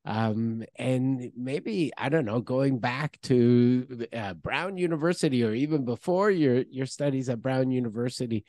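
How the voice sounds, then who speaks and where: A man's voice: mid-range voice, a little slow, hardly any pauses, darkish tone, no echo. Man hosting an interview. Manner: formal, enthusiastic introductions. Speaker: interview host. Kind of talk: scripted intro then interview questions. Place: office with branded backdrop. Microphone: headset with boom mic on a video call.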